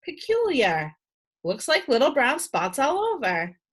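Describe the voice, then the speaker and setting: A woman's voice: sits high, huge pitch swings, unhurried, voice pushed up, exaggerated voice. A woman voicing a fussy but kindly school nurse in a storybook, speaking emphatically. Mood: puzzled, concerned.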